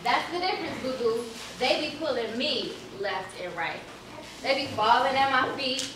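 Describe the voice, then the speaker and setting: A woman's voice: high voice, lively intonation, moderate pace. An actress playing a brash, boastful young party girl in a stage play, talking in a slangy, dismissive, sassy way. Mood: boastful, playful. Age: young adult. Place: theater stage, filmed from far camera. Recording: echoey, noisy stage recording from far off.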